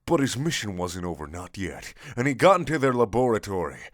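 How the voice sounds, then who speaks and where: A man's voice: fairly low pitch, sing-song, brisk, no room sound, clean studio sound. A man narrating a comic action story in stilted, broken English with dropped articles.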